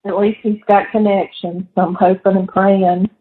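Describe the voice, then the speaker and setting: A woman's voice: medium pitch, little pitch movement, a little slow, a little hiss or hum. A middle-aged woman talking casually on a recorded phone call. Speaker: mother on a call to her son in jail. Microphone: telephone.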